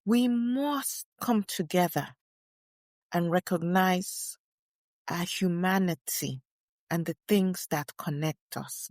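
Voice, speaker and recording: A woman's voice: on the low side, darkish tone, no echo, studio quality. Woman playing a proud, declamatory elder woman scholar. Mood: earnest, gracious. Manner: deliberate, declamatory, emphatic repetition. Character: dignified, proud elderly Kenyan woman writer and Pan-Africanist scholar. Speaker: co-host in character. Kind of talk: first-person dramatic monologue, read aloud.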